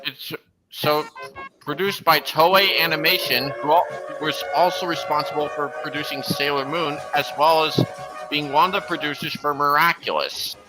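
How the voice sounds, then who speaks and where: A man's voice: a little high, fairly even intonation, moderate pace, low-quality recording. A man hosting a livestream, giving casual, earnest, detail-focused commentary on videos he is watching. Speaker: livestream host. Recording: music playing behind the voice.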